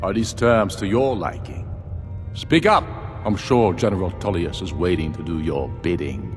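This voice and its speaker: Man acting as very deep, scornful rebel warlord. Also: normal speed, close to an everyday voice. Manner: commanding, sardonic. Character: proud, scornful rebel warlord in a fantasy game. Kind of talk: fantasy video game voice acting. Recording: long hall reverb, music behind.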